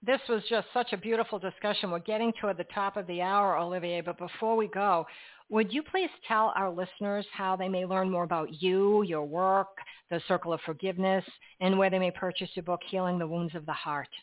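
A woman hosting a radio talk show and reading her sign-off in a polished, gently emphatic way. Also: on the low side, fairly even intonation, brisk, no room sound, narrow, tinny audio.

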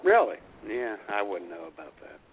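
Guy radio host teasing and goofing around, really animated pitch, dull lo-fi sound w/ faint noise. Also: moderate pace. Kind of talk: casual comedic banter.

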